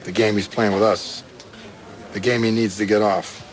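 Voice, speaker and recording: A man's voice: fairly low pitch, flat delivery, normal speed, faint music behind, close to an everyday voice. An actor playing a shrewd, wry police detective in a crime audio drama, dry and understated as he probes. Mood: pondering.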